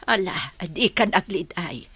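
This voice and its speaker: Casting: actress as worried old wife, husky, unhurried, very expressive pitch movement. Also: female voice, no echo, heavily acted. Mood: consoling. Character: concerned elderly wife consoling her husband. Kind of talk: Filipino radio-style drama acting.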